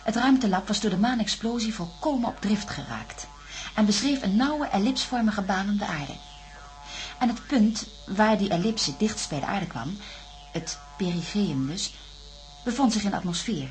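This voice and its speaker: A woman's voice: medium pitch, expressive, normal speed, soft background music, close to an everyday voice. An actress playing a level-headed, earnest female astronaut in a science-fiction radio drama. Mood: sober recounting. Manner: explanatory, earnest. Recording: radio drama with faint hum.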